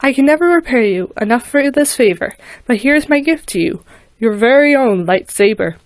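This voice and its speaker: Woman reading as a sad, lonely dark lord, low-key and apologetic, dry. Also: lively intonation, moderate pace, no room sound, lightly acted. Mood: grateful. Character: lonely masked villain turned remorseful.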